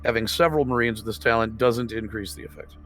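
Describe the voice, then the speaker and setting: A man's voice: mid-range voice, fast talker, fairly bright tone, soft background music. A man playing a tabletop roleplaying game, talking casually with the group.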